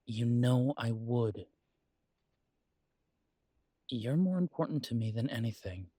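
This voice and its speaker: A man acting as an earnest, protective werewolf in an intimate roleplay audio, speaking tenderly to a partner with halting pauses. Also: mid-range voice, lively intonation, dark tone, no room sound, clean studio sound.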